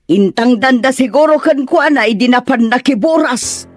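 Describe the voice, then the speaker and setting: A woman's voice: high voice, normal speed, faint music behind. A woman with a Filipino accent playing an older, suspicious and dismissive village matron in an audio drama. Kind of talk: Ilocano audio drama acting.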